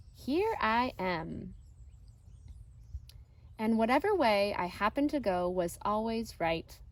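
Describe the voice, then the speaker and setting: Female storybook reader, teacherly, very unhurried, mid-pitched, expressive phrasing for children. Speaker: elementary teacher reading a picture book aloud. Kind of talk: storybook read-aloud narration for children. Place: outdoors.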